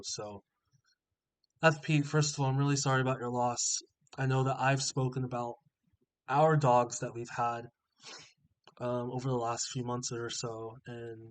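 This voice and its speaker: A man's voice: mid-range voice, poor audio. A man hosting a trading channel with a stuffy, sniffling nose, reading out viewer comments and reassuring them in a casual, encouraging way.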